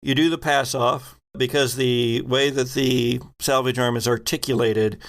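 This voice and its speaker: A man improvising narration as game master of a tabletop role-playing game, halting as he searches for words. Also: mid-range voice, fairly even intonation.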